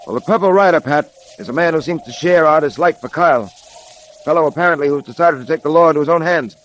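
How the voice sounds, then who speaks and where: An actor playing a resolute police detective hero, commanding and earnest, in 1930s radio drama style. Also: male voice, fairly high pitch, fast talker, a bit bright, natural voice. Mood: firm, earnest. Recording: faintly noisy 1930s radio recording.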